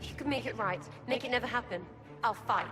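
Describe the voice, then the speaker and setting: An actress playing a haunted but resolute fighter in a fantasy video game, grave and intense in short phrases. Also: female voice, a little high, a little fast, over music, natural voice. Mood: grimly determined. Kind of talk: dramatic video game acting.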